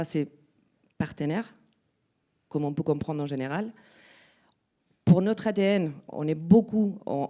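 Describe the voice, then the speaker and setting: A woman with a non-native French accent speaking on a conference panel, halting and searching for words with frequent restarts. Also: fairly low pitch, mellow. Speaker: NGO representative on a panel. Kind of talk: spontaneous panel talk. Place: conference room.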